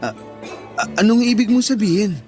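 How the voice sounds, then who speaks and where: Young Filipino boyfriend, defensive yet eager to please, high male voice, radio drama over music. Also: lively intonation, moderate pace, a little mellow. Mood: puzzled.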